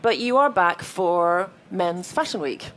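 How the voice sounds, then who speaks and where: A woman interviewing a guest onstage, asking chatty, brisk questions. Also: on the low side, expressive, fairly bright tone.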